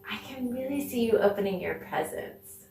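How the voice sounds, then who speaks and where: A woman teaching a children's online dance lesson, speaking in an encouraging, animated way with lots of questions and deliberate pauses. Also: fairly high pitch, creaky, faint music behind. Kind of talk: children's online arts lesson, addressing viewers. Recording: echoey room, distant mic, faint noise.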